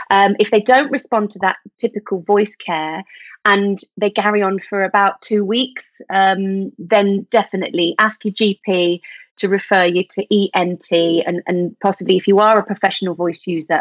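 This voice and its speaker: A woman explains voice care as an interview guest, steady and informative, pausing on "um" now and then. Her voice sits in an ordinary middle range, recorded dry and close with no room around it. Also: mid-range voice, dry recording, low-quality recording. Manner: fluent and informative, with frequent "um" and small restarts. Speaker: vocal health expert guest.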